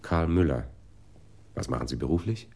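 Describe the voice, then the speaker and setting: Deep, terse police detective. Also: male voice, normal speed, creaky, dark tone. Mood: official, blunt. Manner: terse, businesslike. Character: laconic, dry-humoured Amsterdam police detective. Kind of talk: radio play acting.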